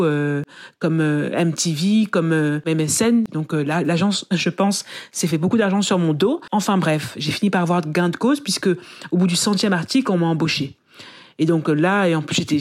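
A woman from France, a podcast guest and author, tells her career story in conversation as an animated storyteller who often pauses and restarts her sentences. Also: fairly low pitch.

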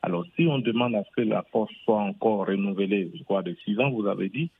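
A man's voice: fairly low pitch, a little fast, slight background noise. A man calling in to a radio show by phone, stating his view with assurance and repeated emphasis. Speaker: caller. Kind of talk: call-in radio opinion. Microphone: phone line. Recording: tinny phone line.

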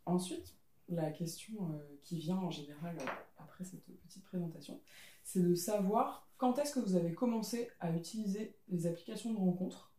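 Mellow-voiced woman hosting a podcast. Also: on the low side, moderate pace, slight background noise. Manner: friendly questioner, hesitant with many fillers. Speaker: podcast host. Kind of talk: casual interview chat. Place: living room, around a coffee table. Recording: roomy sound from a distant mic.

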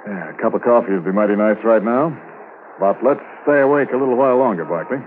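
Guy playing a businesslike cop sergeant, 50s radio drama, lowish voice, thin hissy old recording. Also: fast talker, lightly acted. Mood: watchful. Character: sharp, businesslike male police sergeant on a case. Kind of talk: 1950s radio drama acting. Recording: tinny, noisy 1950s radio recording.

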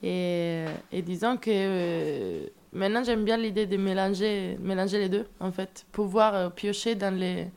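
A woman's voice: mid-range voice, unhurried, hardly any pauses, darkish tone, smooth. Young woman, searching words. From Italy. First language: Italian. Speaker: band member, storyteller.

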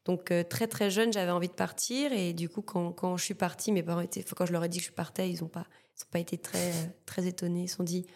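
Hesitant young Martinican woman, lower voice. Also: steady tone, darkish tone. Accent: Martinican French. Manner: halting, many fillers and repeated words. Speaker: guest artist. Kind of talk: casual interview chat.

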